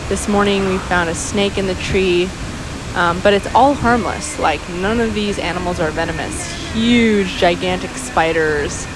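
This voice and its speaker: A woman doing a casual, musing voice-over in halting thoughts, recorded outdoors beside a waterfall. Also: on the low side, music in the background, poor audio. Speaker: vlogger. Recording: steady waterfall noise behind voice. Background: steady rushing water.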